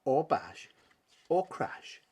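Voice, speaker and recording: A man reading a children's picture book aloud as a dramatic narration, with theatrical delivery and emphatic pauses. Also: mid-range voice, big pitch swings, dark tone, slightly rough. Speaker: storybook reader.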